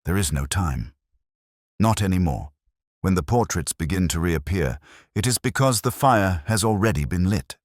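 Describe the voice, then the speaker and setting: A man reading the part of a commanding, brooding detective who speaks cryptically and deliberately in an audiobook. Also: sits low, animated, dry recording, clean studio sound. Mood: resolute.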